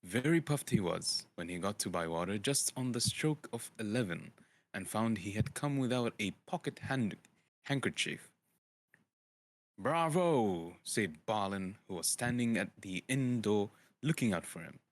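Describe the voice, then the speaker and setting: A man with a low voice reads a fantasy storybook aloud as an amateur narrator. His delivery is informal and dramatic, with the odd stumble over words. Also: expressive, moderate pace. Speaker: audiobook reader, streamer.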